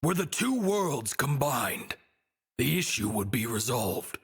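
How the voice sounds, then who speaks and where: Slow, gravelly godlike being. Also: male voice, mid-range voice, sing-song, a bit bright, full character voice. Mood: solemn, imperious. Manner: grandiose, deliberate, archaic formal diction. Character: lofty, ancient male godlike being granting wishes, amused and formal. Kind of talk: video game cutscene acting.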